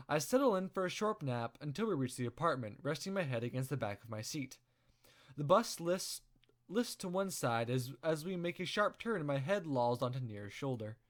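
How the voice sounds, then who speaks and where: A man hosting a casual playthrough, reading a visual novel aloud with expressive storytelling. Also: fairly high pitch. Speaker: let's play host. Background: game music.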